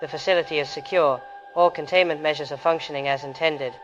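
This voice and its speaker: An actor playing an eerily serene researcher, recording a calm, measured, detached diary entry for an audio drama. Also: male voice, a little high, close to an everyday voice. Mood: detached, reassuring. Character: eerily serene male researcher, secretly changed, logging recordings. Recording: dull lo-fi log recording.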